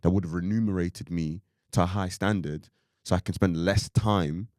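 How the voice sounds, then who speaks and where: A young man from Ireland with a very low, deep voice, chatting loosely on a podcast. He rambles, leaning on fillers like "like" and "you know what I mean". The sound is close and dry, with no room around it. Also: steady tone, moderate pace, a little mellow, dry recording. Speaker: podcast co-host. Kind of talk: casual podcast chat.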